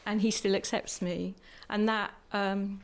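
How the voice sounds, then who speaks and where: A woman speaking earnestly on a church panel, measured, pausing often with fillers. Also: medium pitch, level tone. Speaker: church speaker who prophesies.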